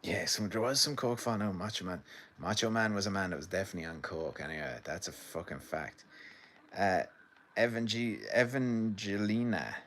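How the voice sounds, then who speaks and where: Low-voiced Irish man chatting live. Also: normal speed, a bit bright. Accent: Irish midlands. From Ireland. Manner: rambling, chatty, reads out viewer comments. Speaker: livestream host. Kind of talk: casual live commentary and chat. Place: home sitting room.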